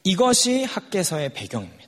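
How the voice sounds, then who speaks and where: A man's voice: high voice, wildly swooping pitch, fairly quick, a bit bright. A forty-year-old man preaching a sermon in a conversational way, putting questions to his listeners. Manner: conversational, puts rhetorical questions to the congregation.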